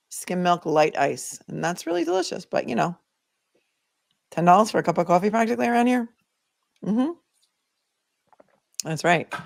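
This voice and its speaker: A woman's voice: mid-range voice, gravelly. A woman with a Boston accent hosting a legal livestream, chatting casually and thinking aloud as she answers viewer comments. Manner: conversational, opinionated, thinking aloud.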